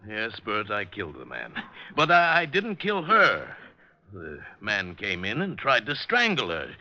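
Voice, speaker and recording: A man's voice: fairly low pitch, wildly swooping pitch, measured pace, pushed and bright, exaggerated voice. A man playing an anxious, hesitant businessman accused of a crime in a 1940s style radio drama. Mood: shaken, despairing. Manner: hesitant, agitated. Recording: muffled vintage radio recording.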